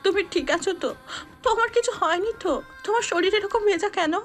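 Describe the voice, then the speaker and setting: A woman's voice: extremely high pitch, quick pace. A woman playing an anxious, affectionate young wife in an audio drama. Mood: worried. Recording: background music under the voice.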